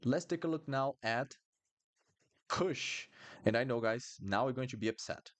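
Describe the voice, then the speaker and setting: A man's voice: mid-range voice, unhurried. A man from Brazil with a Brazilian Portuguese accent, teaching a live online Portuguese lesson in a chatty, apologetic way and repeating words for learners. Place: Brasilia.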